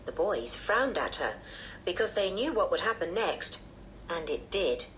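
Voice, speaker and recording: A woman narrating a children's book in an expressive storytelling manner. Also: lowish voice, measured pace, slight background noise, low-quality recording. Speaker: audiobook narrator. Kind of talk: children's story read-aloud.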